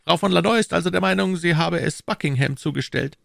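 An imperious, high-voiced cardinal. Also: male voice, gravelly, fairly bright tone, no echo, clean studio sound. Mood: eager, probing. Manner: brisk, probing, questioning. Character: imperious, keen, commanding cardinal, adult man. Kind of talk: audiobook character dialogue.

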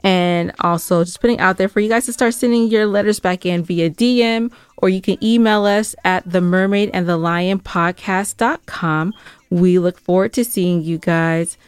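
A woman's voice: lowish voice, moderate pace, clean tone, no room sound. A woman co-hosting a podcast, chatting casually and giving announcements to listeners. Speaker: podcast co-host.

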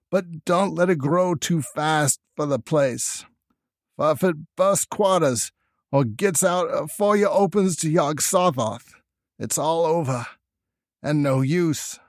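A man's voice: on the high side, takes it slowly, dry recording, clean studio sound. A man voicing a dying, mad old backwoods grandfather in a horror story, wheezing out urgent words in rustic dialect. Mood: warning, strained. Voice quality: wheezing, gasping. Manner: rustic dialect, choked out in bursts.

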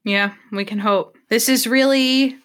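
A woman's voice: fairly high pitch, steady tone, a little slow, fairly bright tone. A young woman from Maine co-hosting a podcast, chatting in an earnest, casual way with sighs and laughs. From Portland, Maine, US. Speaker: podcast co-host.

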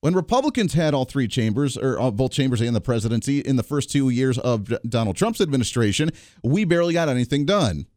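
A male radio host delivers political commentary in an opinionated, sarcastic way, tossing in quick asides. His voice sits at a middle pitch. The recording is dry and close, with no room sound. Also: animated, darkish tone, dry recording. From Kansas area, United States. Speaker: radio talk show host.

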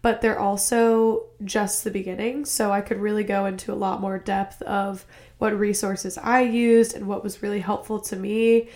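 Young woman podcast host, upbeat and chatty, mid pitch. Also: level tone, darkish tone. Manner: chatty and upbeat, quick asides. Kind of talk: casual podcast interview. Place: home in a cold city.

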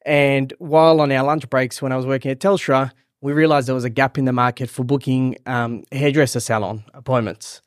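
A man's voice: mid-range voice, fairly even intonation, brisk, hardly any pauses, no room sound, clean studio sound. A man from Israel who moved to Australia as a teenager, chatting casually as an interview guest. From born in Israel, moved to Australia at thirteen. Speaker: interview guest, startup executive.

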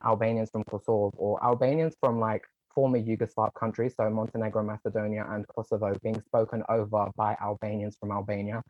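A young man speaking quickly and earnestly as an interview guest, his low voice packed with casual "like" fillers. The sound is dry and close, but slightly dull and muffled, as though the recording lacks its top end. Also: darkish tone, no echo. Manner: earnest, talks in quick runs with many "like" fillers. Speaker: guest, online content creator.

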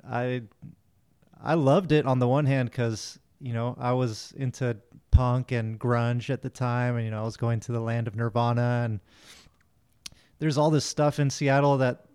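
A man's voice: mid-range voice, steady tone, darkish tone, smooth. A man from Miami, a musician, talking in an easygoing, friendly way in an interview. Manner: easygoing, friendly, slightly hurried. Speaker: guest musician. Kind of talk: casual interview.

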